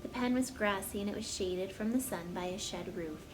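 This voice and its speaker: A woman's voice: medium pitch, normal speed, noisy background. A woman narrating a children's story as a storyteller, reading aloud expressively.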